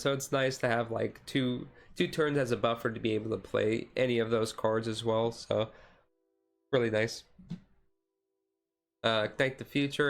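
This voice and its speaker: A man giving a casual, halting ASMR-style commentary as a streamer, explaining things in a rambling way. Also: medium pitch, a bit bright. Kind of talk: casual card deck review commentary.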